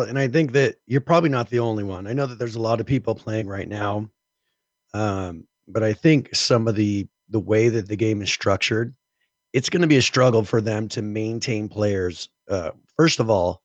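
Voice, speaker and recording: A man's voice: on the low side, normal speed, dry recording, muffled recording. Scratchy-voiced man with a cold. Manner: thoughtful, explaining at length. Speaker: podcast co-host. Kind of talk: casual podcast chat.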